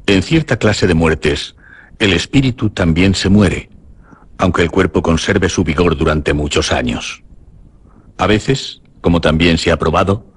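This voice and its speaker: An actor, a man, playing a grave sage reciting solemnly in a radio drama, with a rough husky voice. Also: sits low, unhurried. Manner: solemn, declamatory. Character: grave male sage reciting teachings about death.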